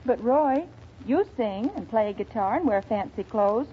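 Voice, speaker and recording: A woman's voice: a little high, lively intonation, normal speed. A woman co-starring in scripted banter on an old-time western radio show. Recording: muffled, noisy old radio recording.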